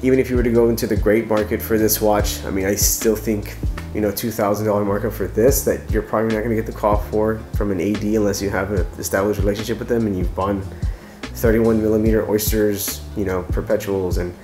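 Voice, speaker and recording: Man in his 30s, low voice, stuffy and nasal, casual chatty review, soft music underneath. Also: level tone, fairly quick. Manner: chatty, enthusiastic, restarts often. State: nasal congestion from a cold. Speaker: watch reviewer. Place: living room with couch, evening lighting.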